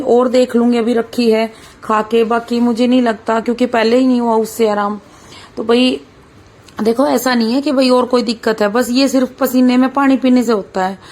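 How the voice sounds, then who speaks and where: Hoarse chatty woman vlogger. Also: a little high, darkish tone. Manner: chatty, keeps saying "bhai", talks while cooking. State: hoarse, sore throat. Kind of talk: casual vlog chat.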